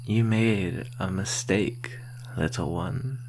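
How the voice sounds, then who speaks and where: Centuries-old vampire ASMR actor in role: deep-set, breathy, whispery, predatory and teasing, very drawn-out delivery, faint hum behind. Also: darkish tone. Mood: seductive menace. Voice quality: breathy, often dropping to whisper, creaky. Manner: slow, teasing, predatory drawl. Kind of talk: ASMR roleplay, slow close monologue.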